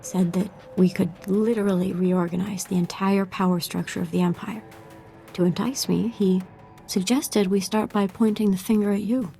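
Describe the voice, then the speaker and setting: A woman plays a young dark-side apprentice in a space-fantasy game. Her mid-range voice is composed and earnest, devoted yet quietly murderous. Light music plays underneath. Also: medium pitch, fairly even intonation, moderate pace, creaky, lightly acted. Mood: grim satisfaction. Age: young adult. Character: devoted, bloodthirsty young female Sith apprentice. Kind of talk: video game voice acting.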